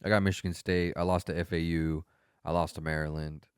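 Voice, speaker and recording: This is a man in his thirties chatting casually on a podcast. His voice sits extremely low, and it is recorded dry and close. He drifts through thoughts with fillers and easy profanity. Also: level tone, fairly quick, clear, resonant tone, dry recording. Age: 30s. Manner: laid-back, trailing fillers and profanity. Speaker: podcast co-host. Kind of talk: casual chat.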